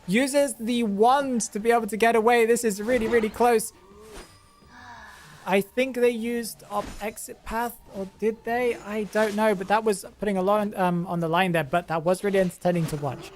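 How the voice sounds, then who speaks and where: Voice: medium pitch, fairly even intonation, moderate pace. Chatty game commentator over faint music. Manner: chatty, thinking aloud, trailing off and restarting. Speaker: game commentator and channel host. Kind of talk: casual gameplay commentary.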